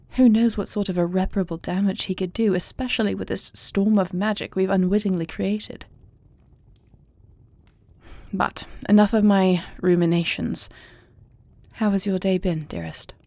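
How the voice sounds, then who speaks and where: A woman playing a thoughtful, devoted warrior princess in an intimate fantasy audio roleplay, speaking in formal, measured, eloquent phrases. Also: medium pitch, creaky, warm tone, no echo. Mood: grave, reflective, then tender, thoughtful.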